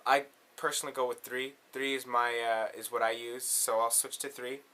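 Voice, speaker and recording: A man's voice: medium pitch, level tone, normal speed, bright, forceful tone. A man presenting a casual step-by-step synthesizer tutorial in an instructional way, often checking in with the listener.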